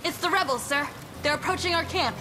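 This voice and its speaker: Woman playing a very high-pitched urgent young soldier. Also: fairly quick, close to an everyday voice. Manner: formal, urgent. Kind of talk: video game cutscene acting. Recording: loud game music behind. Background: game music.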